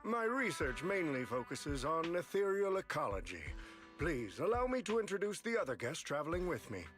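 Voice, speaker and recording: A man's voice: a little high, very animated, normal speed, fairly bright tone, soft background music. A man voicing a courteous, formal male scholar and expedition leader in an anime-style game. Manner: polite, formal.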